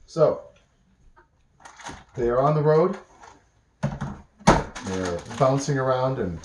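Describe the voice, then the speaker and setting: A man telling an improvised bedtime story at home, relaxed and pausing often as the listeners interrupt. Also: medium pitch, roomy sound, heavy background noise.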